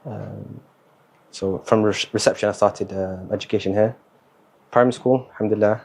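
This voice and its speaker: A young man, talking as a guest in a casual interview, relaxed and hesitant, with many fillers and Arabic words mixed in. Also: on the low side, normal speed, vocal fry, husky. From Somali, born in the Netherlands, raised in England. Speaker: guest, university student.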